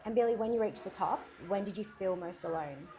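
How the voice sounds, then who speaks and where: A woman hosting an interview in a café, asking measured questions and often giving short affirmations. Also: medium pitch, warm tone, slight background noise. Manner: attentive, frequent short affirmations. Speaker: interviewer, host.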